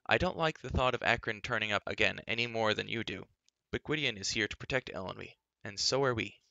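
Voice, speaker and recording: A man's voice: lowish voice, fairly quick, vocal fry, sharp tone, no echo, lo-fi sound. A man voicing an eager, earnest young hero who speaks urgently.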